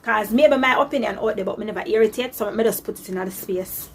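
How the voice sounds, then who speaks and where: A woman's voice: mid-range voice, fast talker, slight background noise. A woman with a Jamaican accent, giving an earnest, lecturing opinion monologue with patois inflections.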